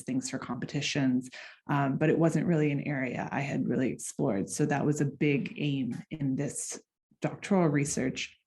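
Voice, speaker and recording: A young woman with an American accent talks through her research at a symposium. Her voice sits low and warm, and she explains things in a loose, conversational way. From United States. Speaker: doctoral researcher and clarinetist presenting. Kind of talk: academic conference presentation.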